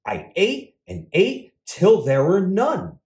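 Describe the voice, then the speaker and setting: A man's voice: high voice, wildly swooping pitch, slow pace, a little mellow, recorded from a distance, heavily acted. A man voicing a greedy, remorseful toad in a children's storybook. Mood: sorry, confessing. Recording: roomy echo.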